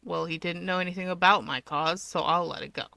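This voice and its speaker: A woman's voice: on the low side, steady tone, brisk, creaky, pushed and bright, no room sound. A woman voicing a bossy, sardonic young male villain and big brother in a story reading, dryly threatening and teasing. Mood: grumbling, teasing.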